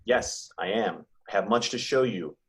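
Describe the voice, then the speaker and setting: Low-voiced commanding giant spirit played by a man. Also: a little slow, fairly bright tone. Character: Ghost of Christmas Present, commanding jolly giant spirit. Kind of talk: amateur table read.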